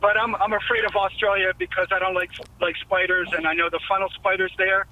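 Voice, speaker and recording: A man's voice: sits high, flat delivery, fast, a loud crowd. A man from New York calling into a radio show, rambling and hesitant with restarts. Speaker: caller. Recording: phone line.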